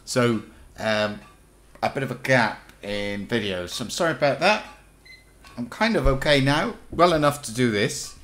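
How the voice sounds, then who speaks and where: A man hosting a game playthrough, a bit croaky from illness, rambling and chatty as he thinks aloud. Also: medium pitch, expressive, moderate pace, fairly bright tone. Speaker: let's play host. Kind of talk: casual gameplay commentary.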